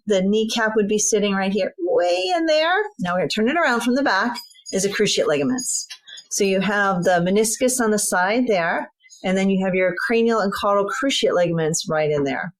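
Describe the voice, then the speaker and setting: A woman in her fifties talks a listener through a topic patiently, one step at a time. She speaks at an ordinary pitch for a woman and in a steady explaining manner, as if pointing things out on a model. She is a guest in an interview. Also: moderate pace, low-quality recording. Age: 50s. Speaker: veterinary sports medicine guest.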